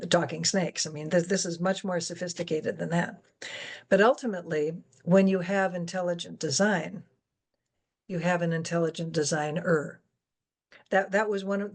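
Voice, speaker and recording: A woman in a conversational explanatory talk, speaking articulately and emphatically as she lays out her points. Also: on the low side. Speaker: guest moderator and science education advocate.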